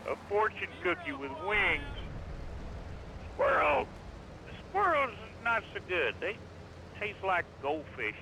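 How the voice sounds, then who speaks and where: High, swooping oddball radio caller. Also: male voice, slow, pauses often, noisy background, full character voice. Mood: excitable, rambling. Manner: excitable, oddball rambling. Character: eccentric radio caller who eats squirrels. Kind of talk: parody radio phone-in.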